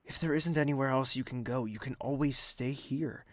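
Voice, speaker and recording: An actor playing a courteous, quietly caring host and narrator with a husky, measured, dry delivery in an audio drama. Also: medium pitch, no echo, lightly acted. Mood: concerned, soothing.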